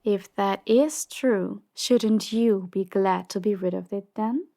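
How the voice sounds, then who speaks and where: A woman playing a commanding, power-hungry crime boss in an audio drama, measured and condescending, with polite menace. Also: mid-range voice, vocal fry, warm tone. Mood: cold menace. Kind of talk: audio drama roleplay acting.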